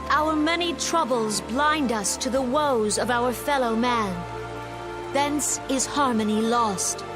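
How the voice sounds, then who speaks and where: An actress playing a solemn, compassionate leader of a forest nation, giving a formal memorial speech in a video game with stately, measured delivery. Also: female voice, fairly high pitch. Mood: earnest, uplifting. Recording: music playing behind the voice.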